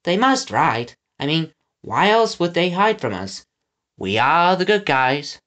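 A man's voice: a little high, very animated, a little slow, a bit bright, pitched above the performer's own voice. A man voicing a nervous, bookish storybook henchman who is wordy, hesitant and pedantic. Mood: musing. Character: nervous, bookish, philosophizing henchman, easily exasperated.

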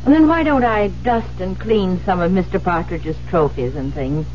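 An actress playing a dutiful, fussy secretary with a deferential, hesitant manner in a 1970s radio drama. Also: female voice, on the low side, moderate pace, a little mellow, slight background noise, natural voice. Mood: earnest, puzzled. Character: dutiful, fussy, eager-to-please female secretary. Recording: muffled, humming 1970s radio broadcast.

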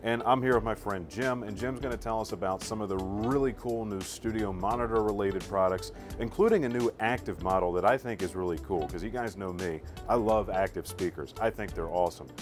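낮은 목소리의 남성 진행자. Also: male voice, lowish voice, a little fast, hardly any pauses. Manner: informal, enthusiastic. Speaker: host and interviewer. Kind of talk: casual product tour hosting. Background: music.

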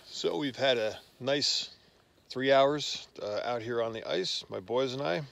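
A man in his fifties narrating a casual outdoor vlog as a father, talking haltingly in short phrases with frequent pauses. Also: medium pitch, vocal fry, fairly bright tone. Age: 50s. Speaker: host, father fishing with his sons. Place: outdoors on a frozen lake. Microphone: phone at arm's length. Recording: noisy outdoor phone recording.

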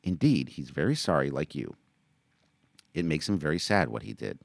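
A man's voice: very deep voice, very animated, normal speed, creaky, no echo, clean studio sound, exaggerated voice. A man voicing a tender, motherly widow who soothes a child in a raised, coaxing voice. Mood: soothing. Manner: soft, coaxing, lifted voice.

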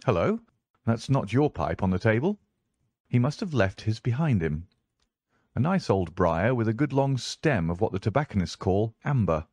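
An assured, analytical gentleman detective played by a male narrator in an audiobook. He speaks precisely, as if lecturing, in a fairly low voice with very little room sound. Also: big pitch swings, moderate pace, dry recording. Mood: brisk, vexed. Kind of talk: audiobook character voice.

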